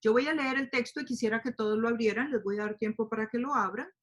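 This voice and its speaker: A woman's voice: a little high, fast talker. Spanish-speaking woman teaching a Bible study. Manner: speaks to a group, asks them to open their Bibles, many fillers like "eh" and "este". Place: lives in the United States.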